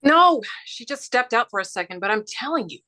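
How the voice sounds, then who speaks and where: A woman's voice: on the high side, lively intonation, fairly quick, sharp tone, poor audio. A woman acting a harried female reporter and working mother, urgent in manner, in a script table read. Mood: urgent, secretive.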